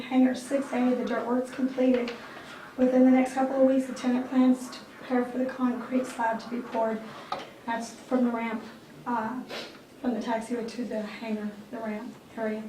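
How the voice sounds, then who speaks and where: A woman with a squeaky voice and a fairly high, flat, mellow delivery reads through an airport manager's report at a board meeting. She is picked up by a distant mic in an echoey meeting room, with faint background noise. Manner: matter-of-fact, clears throat often.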